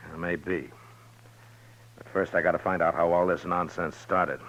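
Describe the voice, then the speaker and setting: An American actor playing a steady, skeptical middle-aged marshal in a 1950s radio western, speaking with dry, unhurried authority. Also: male voice, sits low. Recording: muffled, noisy 1950s radio recording.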